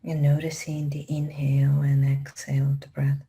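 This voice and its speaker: A woman leading a guided meditation in a calm, gentle, unhurried manner with long pauses. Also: a bass voice, husky. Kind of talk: guided meditation, slow spoken instructions.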